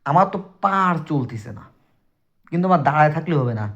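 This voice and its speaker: A man's voice: fairly high pitch, lively intonation, fast talker, a bit bright. A man with a southwestern Bangladeshi Bengali accent telling a personal story casually and emphatically, talking straight to his listeners. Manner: conversational, emphatic, addresses listeners directly. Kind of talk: casual spoken personal ghost story to friends. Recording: dull lo-fi recording.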